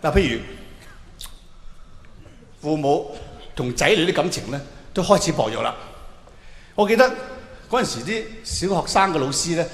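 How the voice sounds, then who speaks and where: A man with a Hong Kong Cantonese accent performing an animated, colloquial stand-up monologue to a live audience, acting out bits of dialogue. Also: fairly high pitch, normal speed, long pauses. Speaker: stand-up comedian. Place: large indoor venue with long reverb. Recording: echoey hall, live stage, faint noise.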